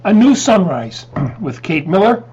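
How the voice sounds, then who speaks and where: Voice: medium pitch, big pitch swings, unhurried, warm tone. A show announcer reading scripted introductions. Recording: dull lo-fi sound with noise.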